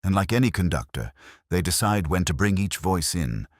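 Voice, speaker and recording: Man as a shrewd, very deep-voiced police inspector. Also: lively intonation, dry recording, clean studio sound. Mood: brooding deduction. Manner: measured, probing. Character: shrewd, sceptical male police inspector, measured and brooding.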